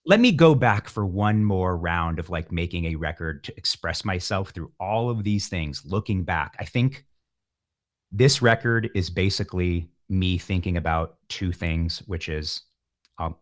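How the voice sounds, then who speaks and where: A man's voice: sits low, animated, unhurried. A middle-aged man giving reflective interview talk as a musician guest, philosophical and wry, often thinking aloud.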